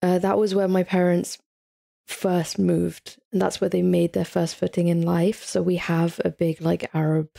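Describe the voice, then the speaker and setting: A young woman in her early twenties from England, a guest on a podcast, talking in a loose, chatty way with lots of "like" and frequent laughter. Her voice sits low and mellow, with a gravelly fry at the ends of phrases. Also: flat delivery, measured pace. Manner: chatty, laughs often, many "like" fillers. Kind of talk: casual podcast chat.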